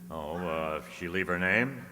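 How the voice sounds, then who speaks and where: Man playing a deep-voiced, glib private eye. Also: a little slow, pushed and bright. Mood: evasive, flirty. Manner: glib, teasing. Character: flirtatious wisecracking male private detective. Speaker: actor playing a detective. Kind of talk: old-time radio detective acting with crooning.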